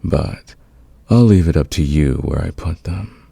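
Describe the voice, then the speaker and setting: Man acting a very deep, seductive gravelly villain. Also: warm tone, no echo, heavily acted. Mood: teasing, reassuring. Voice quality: gravelly, husky. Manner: intimate, teasing, unhurried, confident. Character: smitten male fantasy villain, patient, dominant, smoothly seductive. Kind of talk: romantic ASMR audio roleplay.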